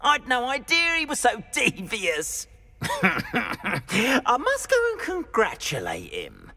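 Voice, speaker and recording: A man playing an excitable, gossipy older man in a video game, theatrical and exclamatory, gleefully mocking as he talks. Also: very high voice, takes it slowly, vocal fry, full character voice. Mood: gleeful reminiscing. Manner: theatrical, exclamatory, prone to laughing. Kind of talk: video game character acting.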